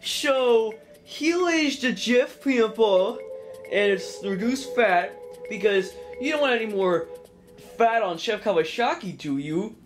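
A woman's voice: a little high, expressive, measured pace, soft background music. A young woman casually narrating a plush puppet cooking skit at home, rambling and jokey, correcting herself as she goes. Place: home kitchen and dining room.

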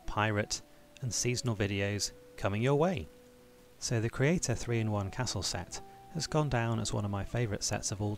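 Male channel host, low and gravelly, measured scripted voice-over. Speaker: toy-building channel host. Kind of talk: scripted review narration.

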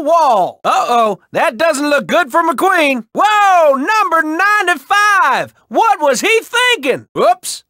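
An actor playing a folksy, excitable race announcer in a video game, exclaiming and stretching words for emphasis in projected sports commentary. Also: male voice, no echo, clean studio sound, heavily acted. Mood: dismayed excitement. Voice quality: projected, high-pitched.

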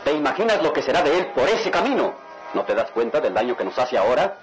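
A man's voice: medium pitch, big pitch swings, fast, soft background music, close to an everyday voice, rough recording. An actor playing a stern, hot-tempered father in a melodramatic radio drama, commanding and declamatory.

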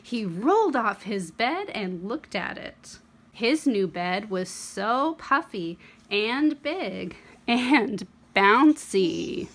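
A young woman reading a picture book aloud to children as host, expressive, with dramatic pauses and emphasis. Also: medium pitch, slight background noise. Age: young adult.

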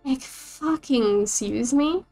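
A woman's voice: sits high, slow, mellow, faint music behind, heavily acted. A woman voicing an angry former employee, a woman, demanding her money back and swearing. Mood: furious. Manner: furious, swearing.

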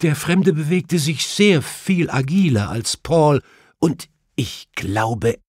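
A distraught widow in a crime audiobook, acted by a man. The voice is pitched high and swings widely, tearful and shaken. The recording is close and dry. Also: very animated, slow pace, darkish tone, no echo, full character voice. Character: distraught weeping widow, a grieving gentlewoman.

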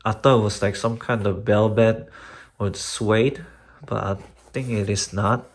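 A man giving a casual, unscripted footwear review in a halting, rambling way with loose wording and mild swearing. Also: fairly low pitch, steady tone. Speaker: sneaker and boot reviewer. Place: small indoor room at a desk. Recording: clearly noisy home recording with clicks.

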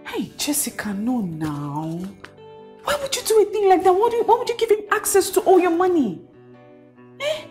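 Animated high-voiced, outspoken best friend. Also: female voice, a little slow, faint music behind. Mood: scolding, emphatic. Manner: exclamatory, repeats phrases for emphasis. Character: outspoken, emotional best friend, scolding yet consoling. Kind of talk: melodramatic film acting.

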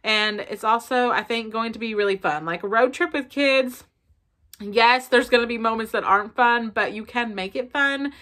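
A woman's voice: fairly high pitch, moderate pace. A woman in her thirties with a Southern American accent, chatting casually to the camera from her bedroom as a family vlogger, full of fillers. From South Carolina. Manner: chatty, expressive, lots of fillers. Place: bedroom at home, indoors. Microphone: camera in front at close range.

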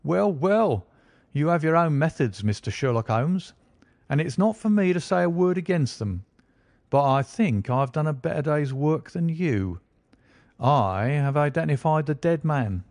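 A man's voice: a little high, expressive, a little slow, a little mellow, no echo, clean studio sound, voice pushed up. Brash male police inspector, raised and pushy, full of himself, voiced by a male audiobook reader. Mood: eager, boastful. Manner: eager, self-satisfied, lectures at speed. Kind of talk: audiobook character voice.

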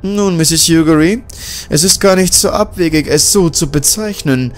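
A man's voice: a little high, normal speed, voice pushed up, close to an everyday voice. A man doing a mocking mad doctor. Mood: menacing polite. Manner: smooth, mocking courtesy. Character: polite, cynical male mad-scientist doctor. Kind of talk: audiobook character reading.

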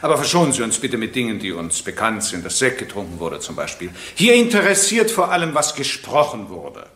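An actor playing a stern, formal presiding judge in a radio crime drama, speaking with authority. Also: male voice, lowish voice, big pitch swings, fairly quick, a bit bright. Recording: roomy, echoing courtroom sound.

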